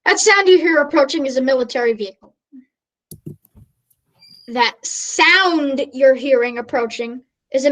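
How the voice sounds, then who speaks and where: A woman's voice: fairly high pitch, fairly bright tone, natural voice, rough recording. A woman playing an earnest, grandiose radio news reporter in a mock radio play, declaiming dramatically.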